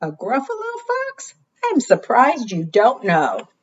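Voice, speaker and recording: A woman's voice: a little high, huge pitch swings, slow, lo-fi sound, slight background noise, pitched above the performer's own voice, heavily acted. A woman voicing a small, clever and cheeky brown mouse from a picture book, in an emphatic storybook manner.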